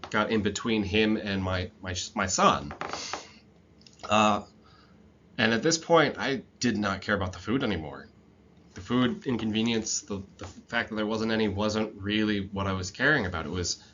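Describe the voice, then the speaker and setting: Bright-voiced man lecturer, thirties, muffled lo-fi recording, faint hiss. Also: fairly low pitch. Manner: relaxed, reflective storytelling with frequent fillers. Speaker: instructor. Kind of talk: storytelling lecture.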